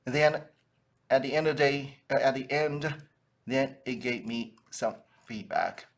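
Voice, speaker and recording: A man's voice: mid-range voice, a little slow. A man giving an informal instructional talk as a trainer, conversational and often checking in with a tagged-on "right?". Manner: conversational, frequently tags phrases with "right?". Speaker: sales trainer for funeral businesses.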